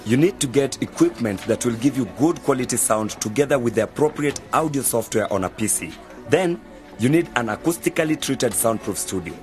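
An actor playing a young university student who patiently explains things in a measured way in an educational radio drama. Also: male voice, medium pitch, expressive, darkish tone, soft background music, natural voice. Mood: patient, instructive. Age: young adult. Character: calm, knowledgeable male university student mentor. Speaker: university journalism student mentoring novices.

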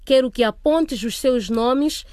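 A woman's voice: sits high, slow pace, a little mellow, no room sound, clean studio sound. A woman acting a firm but kind school headmistress in a radio drama, commanding and formal.

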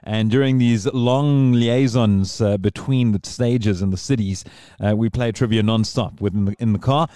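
A man's voice: on the low side, normal speed, warm tone, smooth, no echo, clean studio sound. A man hosting a podcast in casual chat, rambling and digressing as he talks. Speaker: podcast host.

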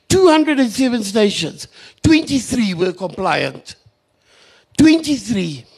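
A man's voice: high voice, slightly rough. A man speaking off the cuff at a public forum, emphatic and repeating phrases to drive his point home. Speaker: forum participant from a community radio station. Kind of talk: impromptu public discussion.

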